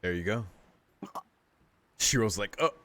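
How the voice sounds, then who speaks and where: A man's voice: fairly low pitch, expressive, a little slow, a bit bright. A man hosting a gaming video, reading aloud and commenting in a casual, rambling, slangy way.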